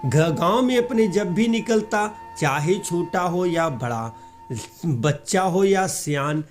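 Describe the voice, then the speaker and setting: A man's voice: high-pitched, animated, slow. A man narrating a scripted children's moral story as storyteller, with music behind him.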